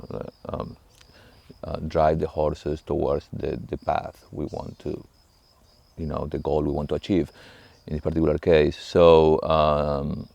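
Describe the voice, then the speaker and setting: A middle-aged man with an Argentine Spanish accent, a monk speaking in a halting, thoughtful way and often pausing to search for words. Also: extremely low pitch, gravelly, darkish tone, dry recording. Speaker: monk, abbot of a monastery. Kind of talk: reflective spoken talk to camera.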